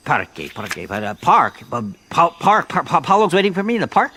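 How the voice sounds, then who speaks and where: A bumbling comic detective from a radio play. His pitch jumps around a great deal as he stumbles over foreign words and repeats them back. He is persistent and endlessly muddled. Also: very animated, fairly quick, sharp tone, slight background noise, heavily acted. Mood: puzzled, fumbling. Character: bumbling, persistent American detective struggling with Portuguese. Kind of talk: comic radio drama acting.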